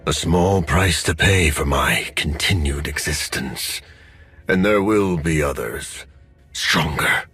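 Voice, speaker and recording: A man's voice: very deep voice, slow, pushed and bright, slightly rough, faint music behind, full character voice. A man voicing a menacing, gloating villain in a video game cutscene. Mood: gloating menace.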